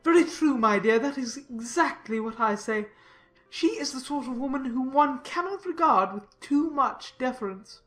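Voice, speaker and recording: A pompous, long-winded clergyman acted by a woman speaks in a markedly high voice. He is effusive and servile, piling on deference in the manner of a dramatized period novel. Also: animated, normal speed, a bit bright, soft background music. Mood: deferential. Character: pompous, fawning adult male clergyman, obsequious and long-winded. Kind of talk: dramatized audiobook character acting.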